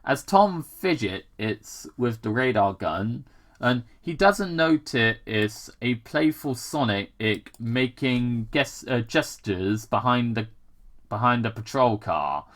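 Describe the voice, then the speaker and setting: A man reads fan fiction aloud at a slow, halting pace. His pitch swings widely as he trips over words, repeats himself and drops in casual, sweary commentary. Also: expressive, fairly bright tone. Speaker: gamer host reading fan fiction aloud.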